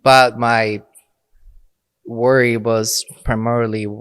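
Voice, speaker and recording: A man's voice: mid-range voice, level tone, slow, a bit bright, clear, resonant tone, dry recording, clean studio sound. A young adult man from Mexico, a priest and podcast guest, who speaks English as an in short phrases and laughs often. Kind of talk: casual interview talk.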